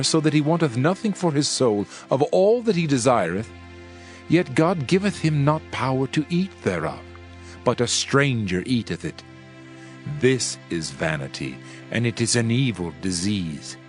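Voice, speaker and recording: An actor playing a solemn, reflective preacher of ancient wisdom, reading scripture in a measured, declamatory way for a dramatized audio Bible. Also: male voice, medium pitch, natural voice. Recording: music under the voice. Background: background music.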